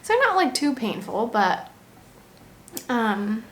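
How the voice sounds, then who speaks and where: A woman in her twenties from the United States, chatting casually to camera in a pregnancy vlog, laughing often and pausing to breathe through contractions. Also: a little high, big pitch swings, a bit bright. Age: 20s. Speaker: vlogger.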